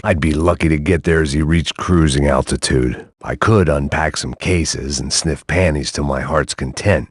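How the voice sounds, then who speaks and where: A man voicing a weary, cynical middle-aged ex-cop, narrating a game in a dry, sardonic, husky voice. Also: a bass voice, no room sound.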